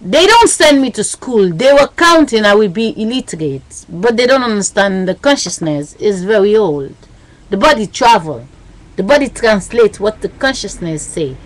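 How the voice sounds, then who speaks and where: A woman's voice: mid-range voice, sing-song, normal speed, no room sound, low-quality recording. A woman talking into her webcam in a rambling, agitated monologue, repeating herself and using broken, non-native grammar. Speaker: webcam vlogger. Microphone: built-in webcam mic.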